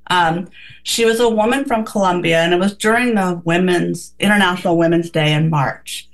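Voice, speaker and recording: A woman co-hosting a casual radio talk, speaking earnestly and reflectively with many restarts. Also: fairly low pitch.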